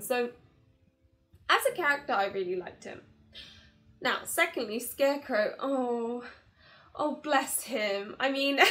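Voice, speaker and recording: A woman in her twenties giving a casual, chatty game review to camera in an expressive way. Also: fairly high pitch. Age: 20s. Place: small bedroom, indoors. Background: background music.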